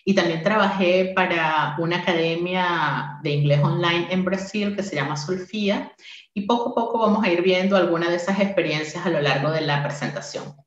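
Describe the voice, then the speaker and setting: A woman's voice: on the low side, normal speed, reverberant, highs cut off. A woman in her forties, a university English teacher, giving a friendly interactive talk to a workshop with frequent "eh" fillers. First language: Spanish. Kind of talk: online conference talk.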